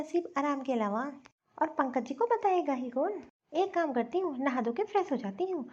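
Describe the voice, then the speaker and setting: A woman's voice: sits high, warm tone. A woman playing a spoiled, scheming young city daughter-in-law in a Hindi family cartoon, with a whiny, bossy manner. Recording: dull, lo-fi recording.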